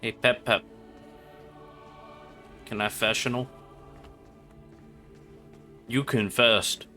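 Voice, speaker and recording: Bright-voiced man casually riffing over a game. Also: mid-range voice, fairly even intonation, slow pace. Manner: slangy, irreverent. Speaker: video game streamer. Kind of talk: casual live game commentary, crude joking. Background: game music and sounds.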